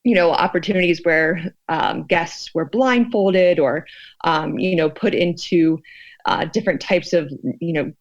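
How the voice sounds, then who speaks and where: A woman explaining her research in an interview, in a thoughtful, halting, conversational way. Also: on the low side, highs cut off. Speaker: guest, sociologist and fear researcher.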